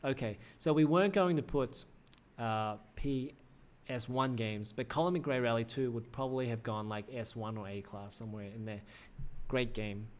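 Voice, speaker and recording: Male streamer, 30s, mid-pitched, chatty and rambling, voice slightly worn. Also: normal speed. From Australia. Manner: rambling, self-correcting, frequent "uh" fillers. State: slightly losing his voice. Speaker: livestream host. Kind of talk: casual livestream commentary.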